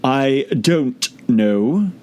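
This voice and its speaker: A man voicing a haughty, languid caterpillar in an audiobook, drawling slowly and speaking with curt, dismissive contempt. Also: medium pitch, expressive, dark tone, slight background noise, voice pushed up. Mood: contemptuous, curt. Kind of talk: audiobook character voice.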